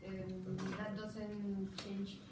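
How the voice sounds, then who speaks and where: A young woman with a Mexican accent, a native Spanish speaker, giving a halting rebuttal in a student debate. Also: mid-range voice, level tone. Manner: halting, rephrasing in English. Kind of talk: spoken rebuttal in a classroom debate. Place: classroom. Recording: echoey, noisy room, distant mic.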